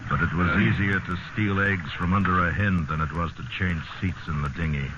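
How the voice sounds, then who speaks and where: A man acting as the grave, measured narrator of a sea survival tale in a 1950s radio drama. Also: low-pitched, very noisy, lightly acted. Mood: solemn. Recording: muffled old radio recording, loud music behind.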